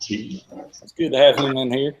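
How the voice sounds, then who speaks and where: Male host, 40s, Southern US accent. Loose, repetitive, chatty delivery at an average pitch. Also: measured pace, a little hiss or hum, poor audio. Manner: rambling, repeats himself. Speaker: host of a fishing live stream. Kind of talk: casual live-stream chat.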